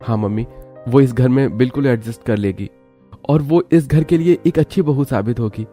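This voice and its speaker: An eager, happy young son, put on by a man in a cartoon story. The pitch is raised well above a deep storyteller's voice, with music underneath. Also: moderate pace, mellow, lightly acted. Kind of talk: Hindi cartoon story acting.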